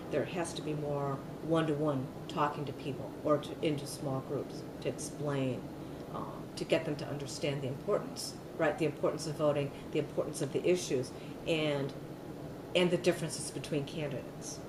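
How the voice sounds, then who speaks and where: A woman's voice: low-pitched, fairly even intonation, moderate pace. A middle-aged woman giving an oral history interview, talking loosely with many fillers and restarts. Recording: echoey room, mic at a distance, noisy.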